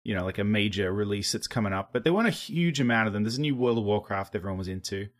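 A man's voice: lowish voice, lively intonation, fast. A man hosting a casual podcast chat, rambling a bit and swearing loosely. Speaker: podcast host.

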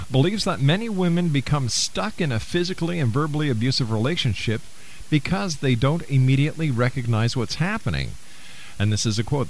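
An earnest man hosting a radio call-in show, with a middle-pitched voice. He talks steadily and sincerely, often stopping on small fillers before he goes on. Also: a little hiss or hum, poor audio. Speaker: radio talk show host.

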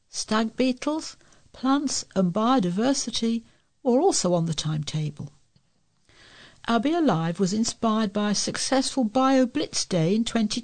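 A woman's voice: a little high, darkish tone. Woman reading scripted local news. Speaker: volunteer newsreader for a talking newspaper.

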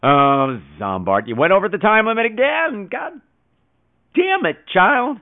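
A man's voice: sits high, very animated, a little slow, phone-quality sound, a little hiss or hum. A man hosting a podcast in casual chat, theatrical and teasing, with exaggerated emphasis and some profanity. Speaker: podcast host. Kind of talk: casual podcast chat with listener call-ins.

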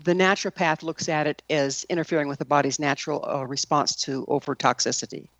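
A woman's voice: low-pitched, fast talker, fairly bright tone, dry recording. A woman interviewing a guest, asking questions and summing up the answers.

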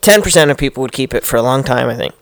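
A man with a smooth, resonant mid-range voice, talking earnestly and thoughtfully with an easy laugh. The sound is dry and close. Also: mid-range voice, lively intonation, very fast, no echo. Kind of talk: casual chat.

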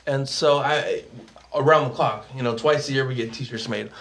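A man's voice: medium pitch, normal speed, fairly bright tone. A man, a guest photographer on a podcast, telling a story casually with restarts and some swearing.

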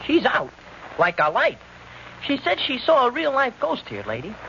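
A man's voice: very high voice, wildly swooping pitch, normal speed, gravelly, pushed and bright, a little hiss or hum, heavily acted. An actor playing a cheeky, wisecracking, unpolished male sidekick in a 1940s radio mystery. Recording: muffled old-time radio recording.

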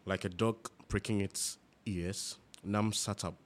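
A man's voice: fairly low pitch, steady tone, slow pace. A man reading literary fiction aloud, narration mixed with characters' dialogue. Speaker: reader.

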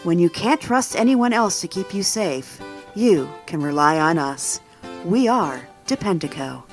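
A woman's voice: on the low side, lively intonation, measured pace, faint music behind. A woman playing an upbeat announcer in a parody insurance commercial, with a salesy, emphatic delivery.

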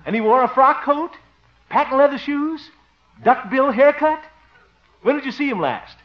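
A man's voice: very high voice, full character voice. An actor playing a flustered, bumbling small-town man in a 1950s radio sitcom, with theatrical exclamations and exasperated muttering. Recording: muffled vintage 1950 radio broadcast.

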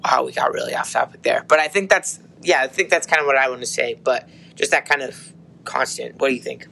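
A man chatting on a casual faith podcast, his bright voice a little hoarse. He rambles, restarts sentences and fills pauses with "um.". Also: medium pitch. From United States. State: slightly hoarse. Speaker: podcast co-host.

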